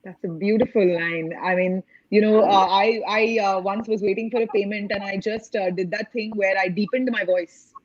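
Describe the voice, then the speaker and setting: A woman's voice: mid-range voice, fairly even intonation, moderate pace, highs cut off. A woman hosting an online panel in a chatty way, full of fillers and restarts. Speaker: panel host.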